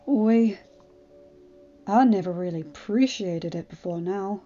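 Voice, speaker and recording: A woman acts out a gruff old blacksmith from a storybook. He is fatherly and kind, with folksy turns of phrase and a remorseful heart. The recording has music behind it and a slightly dull sound. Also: medium pitch, a little slow, mellow, close to an everyday voice. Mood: remorseful, soft. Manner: folksy dialect, addressing the youngster as lad.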